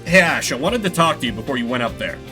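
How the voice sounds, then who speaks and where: A man voicing a whiskered animal mission handler who briefs a pilot in a measured, warning tone. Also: medium pitch, very animated, over music, close to an everyday voice. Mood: cautious.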